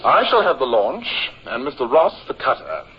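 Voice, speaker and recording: An actor playing an orderly first lieutenant in a 1950s radio drama, with a clipped, methodical way of briefing. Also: male voice, mid-range voice, wildly swooping pitch, moderate pace, slightly rough, heavily acted. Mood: brisk, businesslike. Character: brisk, orderly first lieutenant of a navy frigate. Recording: muffled old radio recording.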